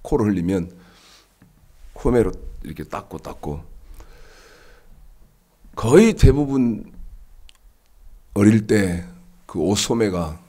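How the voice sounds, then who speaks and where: A man in his sixties preaching a Sunday sermon, his voice stuffy and nasal from a cold, rising high and emphatic as he drives his points home. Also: big pitch swings, slow pace, long pauses, mellow. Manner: rises to an emphatic raised pitch when preaching. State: congested with a cold. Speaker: pastor.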